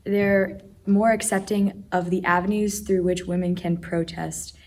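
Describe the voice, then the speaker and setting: A teenage girl talks in a loose, casual way, leaning on "like" as she goes. Her voice is fairly low and stays flat in tone. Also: a little slow, slight background noise. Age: teens. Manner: casual, frequent "like". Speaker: student. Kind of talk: opinion statement in a discussion.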